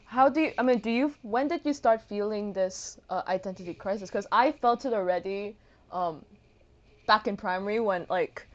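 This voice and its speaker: A young adult woman leads a casual conversation with animated energy and frequent laughter. Her pitch is ordinary for a woman. The recording sounds dull and lo-fi, with muted highs. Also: moderate pace.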